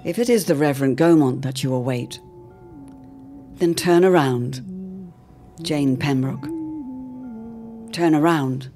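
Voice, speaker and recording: A man narrating an old-time serial drama in a theatrical, portentous way with deliberate phrasing. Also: fairly high pitch, dark tone, soft background music.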